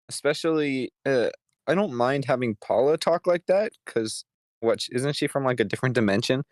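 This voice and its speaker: A man's voice: mid-range voice, no room sound, clean studio sound. A man giving casual, slightly hesitant feedback in an online meeting. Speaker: participant giving script feedback.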